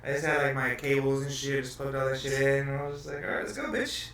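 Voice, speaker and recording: A man's voice: mid-range voice, monotone, fairly bright tone, far from the mic. A man from Southern California hosting a casual podcast interview, chatty and swearing freely. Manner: chatty, swearing, quick questions. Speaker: podcast host. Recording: echoey room, off-mic, faint hum.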